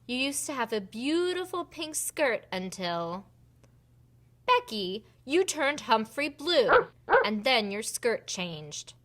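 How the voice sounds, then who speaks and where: A woman voicing a kind but firm head fairy in a picture book, deliberate and gently scolding. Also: fairly high pitch, big pitch swings, exaggerated voice. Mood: gently chiding. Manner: deliberate, repetitive, chiding.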